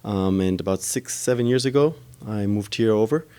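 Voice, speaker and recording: A man talks in a very low voice as a podcast guest. He explains how his gym works at length, pausing often with ums and uhs as he goes. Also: warm tone, clean tone. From Germany. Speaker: gym owner and trainer. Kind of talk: podcast interview answers.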